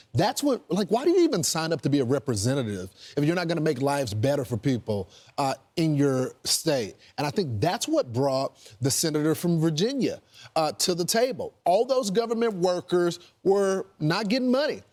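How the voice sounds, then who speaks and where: A man's voice: medium pitch, darkish tone. A man giving conversational political commentary as a show co-host.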